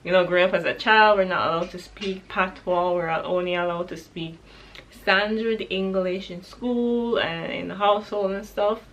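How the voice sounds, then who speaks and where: Chatty Jamaican woman, lower voice. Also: fairly even intonation, normal speed, fairly bright tone, rough recording. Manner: candid, chatty, laughs easily. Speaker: vlogger. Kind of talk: casual question-and-answer vlog.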